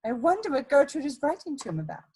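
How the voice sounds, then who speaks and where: A woman playing a sly, prying society schemer in a theatrical period comedy reading, musing deliberately to herself. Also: high voice, sing-song, mellow. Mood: curious, sly.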